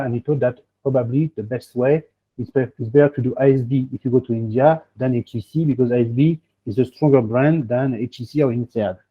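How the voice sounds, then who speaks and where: A man with a French accent coaching applicants in a webinar, rambling and checking in with "okay?" as he goes. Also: medium pitch. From France. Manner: rambling, peppers speech with "okay?" and "huh?". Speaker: admissions coach hosting a webinar. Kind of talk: advice in an online webinar. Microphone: video call. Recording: tinny phone-like video call audio.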